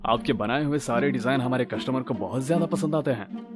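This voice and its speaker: A man's voice: medium pitch, brisk, over music, natural voice. A man playing a courteous factory owner. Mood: appreciative. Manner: formal, courteous. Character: polite middle-aged male factory owner, a husband.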